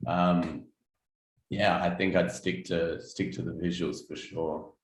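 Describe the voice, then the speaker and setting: A young Australian man talks in a low, easygoing voice, pausing often on ums and starting his sentences over. The sound is echoey, as if recorded in a bare room. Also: little pitch movement. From South Australia. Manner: laid-back, many ums and restarts. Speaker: guest artist. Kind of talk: casual interview chat.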